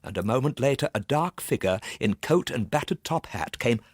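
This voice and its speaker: A man's voice: medium pitch, animated, moderate pace, no room sound, studio quality. A man narrating a comic ghost story in the first person, theatrically, with precise old-fashioned diction. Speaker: storyteller, actor.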